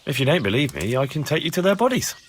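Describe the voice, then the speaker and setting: A man's voice: fairly high pitch, sing-song, fast talker, slight background noise. An actor playing a determined young man in a medieval video game, questioning people in an insistent, direct way. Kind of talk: video game cutscene dialogue.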